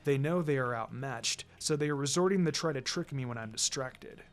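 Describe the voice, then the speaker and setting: A man voicing a calm, quietly menacing male ninja mentor in a storybook reading, measured and playfully condescending. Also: mid-range voice, pitched below the performer's own voice, close to an everyday voice. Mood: calculating. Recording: music behind the voice.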